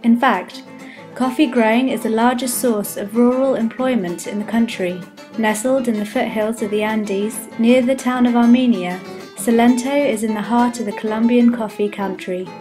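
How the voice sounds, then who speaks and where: A woman reading a scripted documentary narration in an even, informative way as a voice-over. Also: fairly high pitch, moderate pace, music in the background. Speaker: narrator and presenter.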